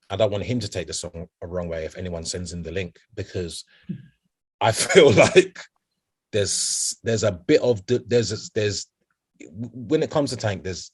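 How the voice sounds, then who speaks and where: A man with a nasal voice co-hosting a music podcast in casual, animated chat. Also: sits low, breathy edge. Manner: animated, repeats "do you get me". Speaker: podcast co-host.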